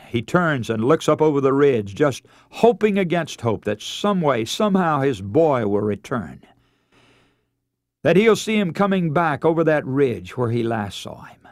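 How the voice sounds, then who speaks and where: A man's voice: mid-range voice, dry recording, studio quality. An American man preaching a radio sermon as a dramatic, emotive story, with theatrical delivery and rhetorical questions.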